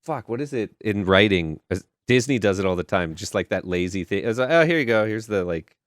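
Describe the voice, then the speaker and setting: A man co-hosting a podcast, chatting about films in a loose, animated, sweary way. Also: on the low side, fairly quick, slight background noise. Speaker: podcast co-host. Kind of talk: casual film review chat.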